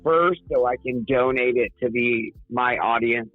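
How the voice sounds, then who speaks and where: A man's voice: mid-range voice, a little slow, no room sound, faint music behind. A man calling in to a stream, cursing freely and getting riled up, his voice strained from singing. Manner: profane, blustery, easily riled. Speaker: call-in guest. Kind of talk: casual comedic banter. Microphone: remote call. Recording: tinny phone-like call line.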